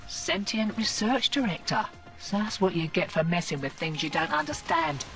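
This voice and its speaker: An actress playing a cocky, slangy resistance field agent in a video game. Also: female voice, lowish voice, animated, normal speed, fairly bright tone, recorded from a distance, faint music behind. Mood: wry. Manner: brash, slangy. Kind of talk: video game mission dialogue.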